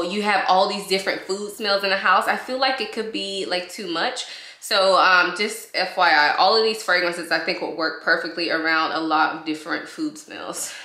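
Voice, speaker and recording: Lady vlogger a bit hoarse from a cold, low-ish bright voice, chatty, echoey living room. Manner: chatty, enthusiastic, repeats praise. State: recovering from a cold, slightly hoarse. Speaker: beauty vlogger. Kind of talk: casual review to camera. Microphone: camera in front, waist-up framing.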